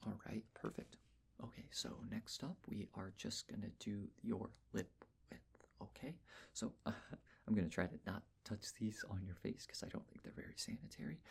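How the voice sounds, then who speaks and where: A man speaking in a breathy whisper, gently and reassuringly giving step-by-step instructions in an ASMR personal-attention roleplay. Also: lowish voice. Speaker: ASMR roleplayer taking face measurements.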